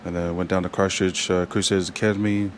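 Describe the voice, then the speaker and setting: A young man with a New Zealand accent, a rugby player chatting as a guest in a casual interview, halting and full of fillers and restarts. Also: sits low, little pitch movement, a little hiss or hum.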